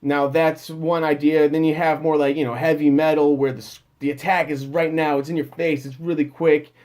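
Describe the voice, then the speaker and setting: A man with a slightly higher voice talks through singing advice in a loose, off-the-cuff way. He wanders and restarts his sentences, leaning on fillers as he goes. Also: flat delivery, moderate pace, a little mellow. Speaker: music producer giving vocal advice. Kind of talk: casual advice talk.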